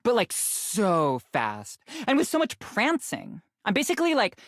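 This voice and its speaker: A woman's voice: medium pitch, huge pitch swings, measured pace, dry recording, clean studio sound. A woman voicing a vain, trendy young influencer in a video game, self-absorbed and flippant, with breezy valley-girl slang. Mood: breezy, bragging. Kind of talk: video game character acting.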